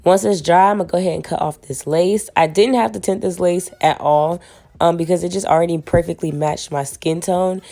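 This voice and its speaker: Woman, young adult, hoarse from a cold, low voice, chatty, slangy wig tutorial. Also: fairly even intonation, normal speed, warm tone, soft background music. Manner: casual and chatty, slangy, addresses viewers as y'all. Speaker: beauty vlogger. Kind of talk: casual wig tutorial chat.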